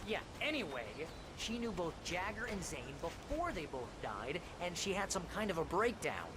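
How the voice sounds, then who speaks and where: A man's voice: high voice, very animated, moderate pace. A man voicing a talkative, eager best friend turned amateur sleuth in a video game, informal, with an American accent. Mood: eager, chatty. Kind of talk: dramatic video game acting. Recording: clear background noise.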